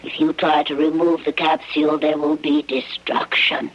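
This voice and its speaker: An actor voicing a small, wary, menacing alien visitor in a radio drama, with stilted, formal phrasing and a husky, breathy voice. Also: medium pitch, level tone, natural voice. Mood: solemn warning. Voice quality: husky, breathy, often near a whisper. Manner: halting, stilted, formal phrasing without contractions. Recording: dull lo-fi old radio recording.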